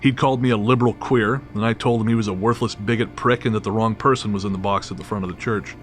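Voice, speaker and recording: Male narrator, lowish voice, wry and matter-of-fact, scripted storytelling over music. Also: fairly even intonation, fast. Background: clearly audible music.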